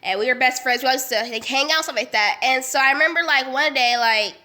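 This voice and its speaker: A young woman with a high voice tells a story in a casual vlog. Her voice is bright, with a stuffy, nasal sound from a cold. She talks in a chatty, animated way, with plenty of slang and fillers. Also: a little fast. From Las Vegas. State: sick, congested and nasal. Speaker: vlogger.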